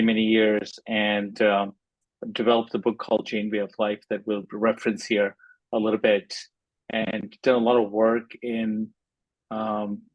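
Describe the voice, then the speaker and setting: A man's voice: fairly low pitch, no room sound, lo-fi sound. A man who teaches religious classes, speaking informally to a group.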